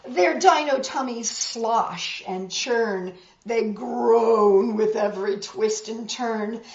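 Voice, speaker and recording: A woman reading a picture book aloud to children in a measured, expressive way, with emphasized rhymes and friendly asides. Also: on the high side. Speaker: storytime host reading picture books. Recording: roomy echo, slightly dull sound.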